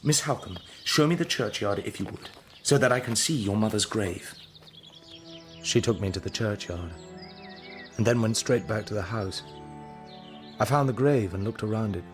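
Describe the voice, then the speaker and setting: A man playing an earnest, courteous young Victorian gentleman with an English accent, in a period radio drama. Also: fairly low pitch, normal speed, long pauses, faint music behind, natural voice. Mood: earnest, urgent. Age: young adult. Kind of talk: period drama acting with measured first-person narration.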